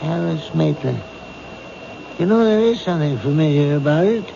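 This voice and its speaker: A weary, grieving husband, hesitant and vague, in a slightly high male voice. It is an old radio play, muffled and noisy. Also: animated, moderate pace, gravelly, warm tone. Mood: vague, groping. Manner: hesitant, groping for words. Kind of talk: radio drama acting.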